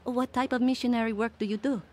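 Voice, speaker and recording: A woman's voice: on the high side, steady tone, fast talker, a little mellow, natural voice. An actress playing a cheerful, curious young woman with a Filipino accent in a radio drama.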